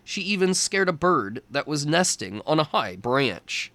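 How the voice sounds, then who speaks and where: A man reads a storybook aloud for young children in a slightly high voice with lively, swooping intonation. He takes his time with each phrase, telling the tale expressively. The sound is close and dry. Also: unhurried, no echo.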